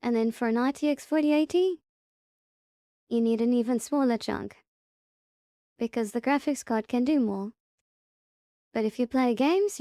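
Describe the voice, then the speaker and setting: A woman presents a software tutorial in a high, mellow voice. She talks slowly and gives short, simple instructions one step at a time. The sound is dry and close. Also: dry recording.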